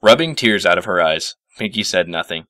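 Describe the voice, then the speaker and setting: A man narrating a scripted story as an expressive storyteller. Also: low voice, brisk, dry recording, clean studio sound.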